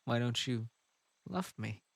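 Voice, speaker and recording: A man voicing an unhinged, possessive male bunny spirit in an audio roleplay, coaxing softly and breaking into giggles. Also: mid-range voice, expressive, slow, gravelly, exaggerated voice. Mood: wounded. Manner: soft coaxing delivery broken by giggles and sudden outbursts.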